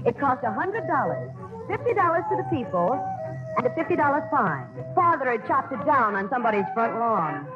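An actress playing a fond daughter who narrates family memories in an affectionate 1940s radio drama style. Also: female voice, a little high, sing-song, a little fast. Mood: fond, nostalgic. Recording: muffled old radio broadcast. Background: loud music.

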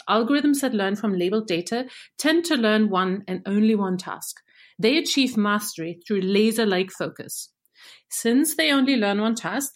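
A research engineer from Germany, a native German speaker, explaining machine learning in a clear, measured scripted segment. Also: female voice, mid-range voice.

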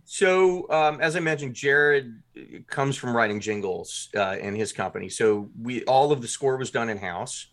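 A man's voice: medium pitch, normal speed, a bit bright. A man talking as a guest in a podcast interview, explaining his production work in detail and acting out short examples.

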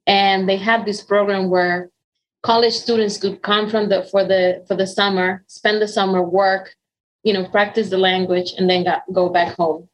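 A woman's voice: mid-range voice, level tone, normal speed, low-quality recording. Dominican woman, 40s, spanish accent, earnest and chatty, lots of ums. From Dominican Republic. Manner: earnest, warm storytelling with frequent um and restarts. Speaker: interview guest. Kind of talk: conversational interview.

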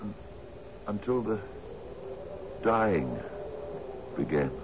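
Deep-voiced, lordly sea captain. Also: male voice, sing-song, drawn-out words, heavily acted. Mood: wistful, brooding. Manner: hearty, lordly, repeats his phrases. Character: genial, domineering sea captain, master of an island. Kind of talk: old-time radio drama acting. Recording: muffled, noisy old radio broadcast.

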